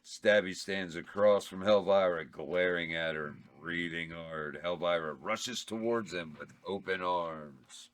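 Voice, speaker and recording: A man's voice: deep voice, fairly even intonation, a little slow, hardly any pauses, fairly bright tone. A man reading the stage directions aloud at a table read, in a plain matter-of-fact way.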